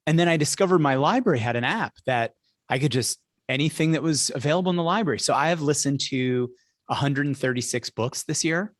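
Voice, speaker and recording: A man's voice: fairly high pitch, fairly quick, no echo, studio quality. A man hosting an interview in a casual, conversational style, telling anecdotes and putting questions to guests. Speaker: interview host.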